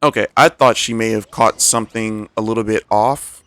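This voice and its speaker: A man's voice: on the low side, steady tone, normal speed, fairly bright tone, clear, resonant tone. A man giving casual commentary as he streams a game, rambling and thinking aloud.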